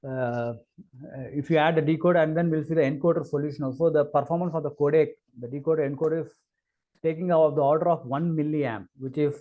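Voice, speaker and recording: A man walking through a technical product demo, talking in a halting way with many fillers and restarts. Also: fairly high pitch, warm tone, rough recording. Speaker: engineer giving a product demo. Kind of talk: screen-recorded technical walkthrough.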